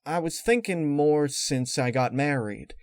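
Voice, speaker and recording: A man's voice: fairly high pitch, animated, unhurried, darkish tone, smooth, no echo, studio quality, pitched above the performer's own voice. A man voicing an anxious pregnant farm mare with folksy country phrasing in a story reading. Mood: wistful.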